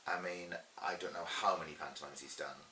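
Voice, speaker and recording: A man's voice: low voice, fairly even intonation, brisk, pushed and bright. A middle-aged man telling anecdotes in an interview as a pantomime performer, chatty, theatrical and emphatic. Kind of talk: animated anecdotal interview talk. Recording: roomy echo with faint hiss.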